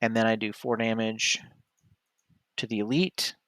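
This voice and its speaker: A man's voice: lowish voice, level tone, unhurried, fairly bright tone, no echo. A man streaming solo board game play, talking casually through each move and often correcting himself as he decides. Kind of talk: casual play-by-play commentary, thinking aloud.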